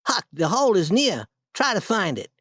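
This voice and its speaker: A storyteller voicing a bold, excited boy who leads his friend, speaking in an eager, insistent way. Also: medium pitch, moderate pace, husky, no room sound, clean studio sound, voice pushed up.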